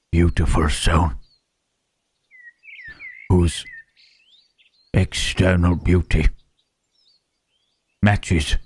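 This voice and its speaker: A man voicing a gentle, self-loathing Frankenstein's monster in an ASMR roleplay, speaking in halting, formal phrases with a husky, rough voice. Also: deep voice, exaggerated voice. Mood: tender admiration. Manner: halting, formal phrasing with searching tag questions. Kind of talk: slow ASMR roleplay monologue.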